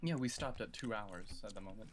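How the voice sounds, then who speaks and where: A man co-hosting a casual livestream, hesitant with many ums, now and then jumping into high silly voices. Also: brisk. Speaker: livestream co-host.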